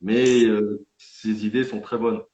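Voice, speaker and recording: Male winegrower guest, earnest and halting, roomy lo-fi recording. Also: on the low side, flat delivery, a little mellow. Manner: earnest, explanatory, somewhat halting. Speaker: independent champagne winegrower. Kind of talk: guest in a live-streamed interview. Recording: echoey, dull lo-fi sound.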